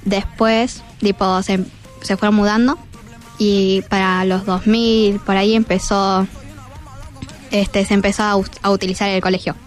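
A woman's voice: mid-range voice, little pitch movement, a little slow. Hesitant teenage Argentine girl on radio. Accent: Argentine, Buenos Aires. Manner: hesitant, searching for words. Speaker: student guest. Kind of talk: informal spoken answers in an interview. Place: radio studio. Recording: music playing behind.

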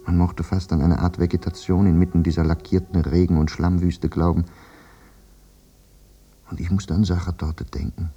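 An actor playing a haunted, war-maimed soldier who recalls the war in a reflective, self-questioning radio drama monologue. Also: male voice, deep voice, level tone, normal speed, dark tone, husky. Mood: brooding, wondering. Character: war-maimed soldier brooding over his memories, haunted, plain-spoken. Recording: muffled radio play recording with music. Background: music.

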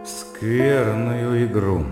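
An actor playing a detached, educated gentleman musing in measured, literary phrases in a radio drama monologue. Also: medium pitch. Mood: sceptical musing. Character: Polkanov, detached, educated brother musing sceptically. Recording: heavy reverb over guitar music.

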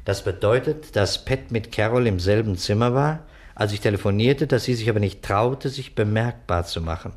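An actor playing an insistent, commanding police inspector in an early 1960s German radio crime play. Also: male voice, fairly low pitch, lively intonation, moderate pace, close to an everyday voice. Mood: brisk, deductive. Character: brusque, impatient male police inspector.

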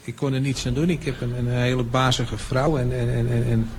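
An actor playing a pompous, petty train conductor in a Dutch radio play, officious and stammering when flustered. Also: male voice, medium pitch, little pitch movement, a little fast, a little mellow, a little hiss or hum, natural voice. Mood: nervous, apologetic. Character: pompous petty train conductor who turns cowering and apologetic.

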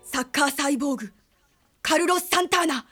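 A determined anime soccer boy, really high voice, earnest and intense, music behind. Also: brisk, pushed and bright. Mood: wary. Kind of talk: anime voice acting.

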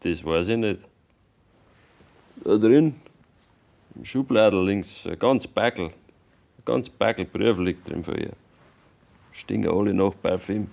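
An actor playing a defiant, bitter young farmer's son with a Bavarian accent in a German radio drama, terse and stubborn. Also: male voice, fairly low pitch, slow, creaky, pauses often, a little mellow. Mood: subdued, sullen.

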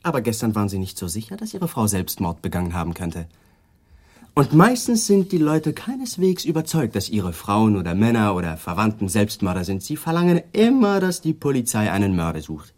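An actor playing a shrewd, persistent male police detective in a radio drama, asking calm, politely needling questions. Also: lowish voice, brisk. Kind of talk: 1980s German radio drama acting.

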